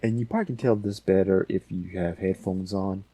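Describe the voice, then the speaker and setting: Male tutorial host, low-pitched and dark in tone, casual and rambling, explaining each step. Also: fairly even intonation, brisk, no echo. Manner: rambling, thinking aloud. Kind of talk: casual software tutorial, talking through steps.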